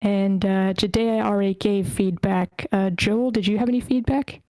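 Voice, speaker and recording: A woman's voice: mid-range voice, fairly even intonation, moderate pace, warm tone, dry recording. A woman informally moderating an online group meeting, addressing participants and running a feedback round. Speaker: moderator of an online table read. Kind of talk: informal moderating, calling on speakers.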